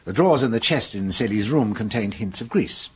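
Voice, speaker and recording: An actor playing a shrewd detective in a radio drama, explaining a case in a measured, amused manner. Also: male voice, on the low side, expressive, darkish tone, breathy edge. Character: shrewd French police detective, Inspector Hanaud.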